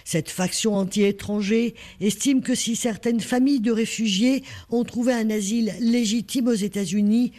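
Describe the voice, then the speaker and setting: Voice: medium pitch, fairly even intonation, moderate pace, few pauses, darkish tone. A reporter narrating a scripted news report.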